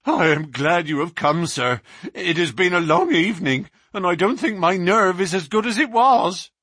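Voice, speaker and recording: Guy voicing a spooked constable, high strained voice, breathy, all over the place in pitch. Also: expressive, normal speed, dry recording, studio quality, exaggerated voice. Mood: nervous. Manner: breathless, frightened, rising high and loud. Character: terrified male police constable, shaken and nervous.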